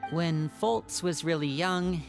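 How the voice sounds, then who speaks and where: A woman voicing a courteous, composed young man in an anime game, a caring big brother who explains things gently. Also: lowish voice, slow pace, vocal fry. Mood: amused, matter-of-fact. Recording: faint game music behind. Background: music.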